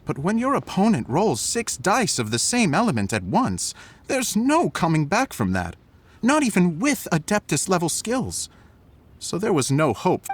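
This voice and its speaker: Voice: medium pitch, huge pitch swings, unhurried, breathy edge, exaggerated voice. An actor playing a weary, frank young card player in a video game, hesitant and sighing. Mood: resigned. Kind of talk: game dialogue acting.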